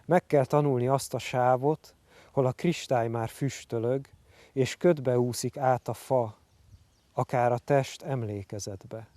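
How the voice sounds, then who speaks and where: A man's voice: medium pitch, steady tone, measured pace, dark tone, no room sound. A man reciting poetry.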